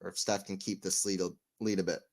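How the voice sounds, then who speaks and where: A man giving live tennis play-by-play on a stream, chatting with viewers and getting excited on big points. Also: low-pitched, fast talker. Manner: excitable on rallies, rambling and self-mocking between points. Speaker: tennis watchalong commentator. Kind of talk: live play-by-play and casual chat.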